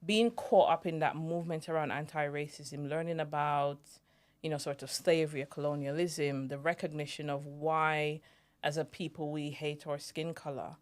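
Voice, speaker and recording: A woman's voice: low-pitched, fairly even intonation, normal speed. A young adult woman, a podcast co-host, telling a reflective story in casual chat and acting out the voices she remembers.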